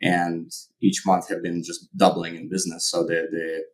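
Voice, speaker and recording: A middle-aged man from Ukraine with a Ukrainian accent, answering interview questions in a measured way, with many fillers and restarts. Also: low-pitched, little pitch movement, rough recording. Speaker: guest, company founder.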